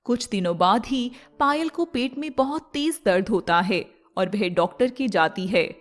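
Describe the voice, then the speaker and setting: A woman's voice: medium pitch, expressive, measured pace, faint music behind. A woman reading scripted storybook narration as the narrator of a moral tale.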